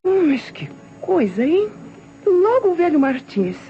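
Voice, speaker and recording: A woman's voice: extremely high pitch, very animated, unhurried, faint music behind, heavily acted. An actress playing a flippant, worldly showgirl in an old radio crime drama, with a breezy, theatrical way of talking. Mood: defensive, flippant. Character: flippant, worldly showgirl being questioned by police. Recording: muffled, noisy vintage Brazilian radio recording.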